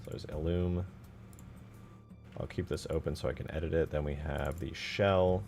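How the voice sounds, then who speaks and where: A man with a low, creaky voice talks casually through his work as a game-modding streamer. He thinks out loud and often questions himself in loose, informal language. Music plays softly behind him. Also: steady tone, moderate pace. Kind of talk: casual live commentary while working.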